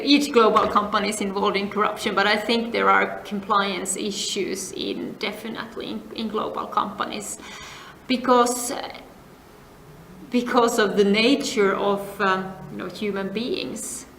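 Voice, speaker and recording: Middle-aged woman, from Finland, measured, fading phrase ends, gravelly, echoey room, faint background noise. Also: mid-range voice, fairly even intonation, a bit bright. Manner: measured, frequent "uh" pauses, trailing off to a near whisper. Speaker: interviewee, compliance advisor. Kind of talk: thoughtful interview answers. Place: seminar venue.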